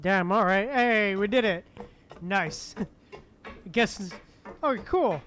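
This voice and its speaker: A woman's voice: medium pitch, very slow, a bit bright, a little hiss or hum. A woman giving casual, joking live commentary as a game streamer, laid-back and loose with swearing. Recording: game music behind the voice. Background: game music.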